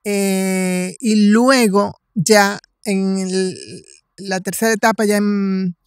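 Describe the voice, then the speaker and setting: Female podcast guest, 60s, Dominican accent, conversational storyteller with halting delivery, recorded dry. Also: mid-range voice, fairly even intonation, smooth, dry recording. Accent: Dominican Spanish. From Dominican Republic. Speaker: podcast guest, writer and cultural promoter.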